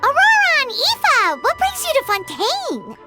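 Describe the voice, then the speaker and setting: A woman voicing a tiny, chirpy, excitable floating fairy companion in a video game, squeaky and exclamatory. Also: unhurried, loud music behind the voice, exaggerated voice. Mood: curious, chirpy.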